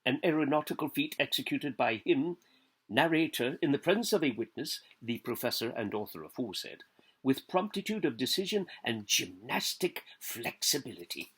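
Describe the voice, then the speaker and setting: Theatrical man reading with very lively pitch. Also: slightly rough. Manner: declamatory, deliberate, dwelling on lists and pausing between phrases. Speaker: actor giving a public literary reading. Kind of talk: theatrical reading aloud of literary prose.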